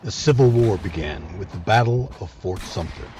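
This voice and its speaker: A man narrating scripted history as a series host, with a dramatic, measured storytelling delivery. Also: deep voice, expressive, dark tone, slight background noise.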